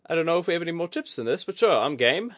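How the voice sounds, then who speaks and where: A man voicing a brash, teasing woman leader in a fantasy audiobook, with wry, rough, folksy speech. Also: fairly high pitch, sing-song, rapid-fire, fairly bright tone, pitched above the performer's own voice. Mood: playful, needling. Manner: wry, needling, colloquial. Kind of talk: audiobook fantasy character acting.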